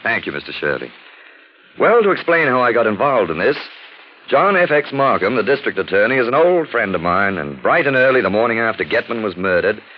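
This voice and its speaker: A man's voice: fairly low pitch, sing-song, a little fast, a little hiss or hum. An actor playing an urbane criminologist, narrating his case with wry, theatrical polish in 1940s radio mystery style. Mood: wry, droll. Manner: suave, wry, theatrical storyteller. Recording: dull 1940s radio recording.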